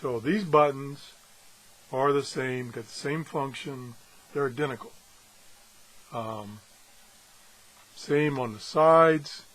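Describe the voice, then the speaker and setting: A man with a slightly husky voice gives a casual, unscripted hands-on review, pausing and thinking aloud as he goes. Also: medium pitch, fairly bright tone, a little hiss or hum, low-quality recording. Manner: halting, thinking aloud as he handles things. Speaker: gadget reviewer and video host.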